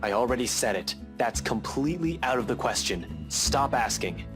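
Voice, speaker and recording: A man's voice: medium pitch, big pitch swings, moderate pace, natural voice. Man playing blunt, exasperated young man, music behind. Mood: stern. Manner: blunt, dry. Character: stern, exasperated young man, protective and dry. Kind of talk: visual novel voice acting. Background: background music.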